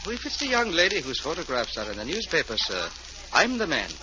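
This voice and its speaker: A man's voice: a little high, wildly swooping pitch, fast. A man playing a prim, obliging tobacco shop clerk in an old-time radio play, formal and deferential. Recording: muffled, noisy old radio recording.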